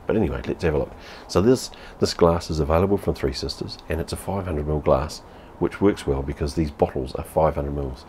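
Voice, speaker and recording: Very deep-voiced New Zealand man. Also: a little fast, hardly any pauses, darkish tone, slight background noise. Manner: blunt, sweary, repeats phrases. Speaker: beer reviewer. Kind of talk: casual vlog review. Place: home room with TV behind.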